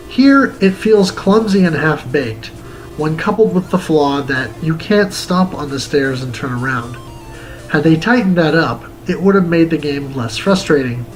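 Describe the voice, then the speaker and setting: A man's voice: on the high side, poor audio. A man reading a scripted video game review as the show's host.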